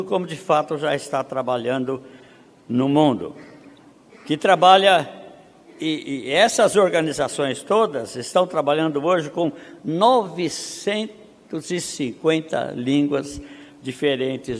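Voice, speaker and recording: A man from Brazil speaking at a missionary conference, reading statistics slowly and building to emphatic rhetorical questions. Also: fairly high pitch. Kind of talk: conference preaching. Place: seminary auditorium. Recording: long hall reverb.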